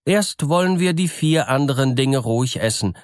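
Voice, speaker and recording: A man voicing a sly, smooth-talking peasant trickster in a fairy tale, measured, knowing and teasing. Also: mid-range voice, expressive, dry recording, clean studio sound, pitched above the performer's own voice, natural voice.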